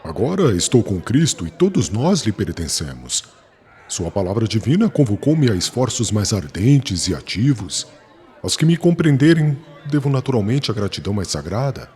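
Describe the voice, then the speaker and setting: A man plays an ardent young religious convert, solemn and declamatory. His lowish voice moves with very animated intonation, earnest and unshakeable, as in a dramatised audiobook. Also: moderate pace, husky, exaggerated voice. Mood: fervent resolve.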